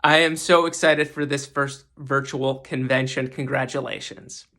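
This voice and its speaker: A man's voice: medium pitch, steady tone, a little slow, bright, forceful tone. A man who hosts a podcast, giving casual, emphatic commentary and often repeating words for stress. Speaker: podcast host giving a guest segment.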